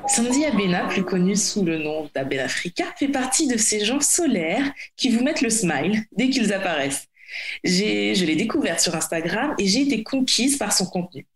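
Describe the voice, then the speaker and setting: A woman podcast host speaks at a middle pitch. Her delivery is conversational, and the room around her voice is noticeably echoey. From France, Paris suburbs. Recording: roomy, echoey sound.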